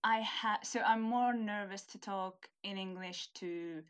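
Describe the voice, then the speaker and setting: A woman's voice: medium pitch, measured pace, a bit bright, clean tone. A young woman from Hungary, a native Hungarian speaker, chatting casually in English as an interviewee, laughing often and searching for words. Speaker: interviewee, student. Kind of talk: casual conversation.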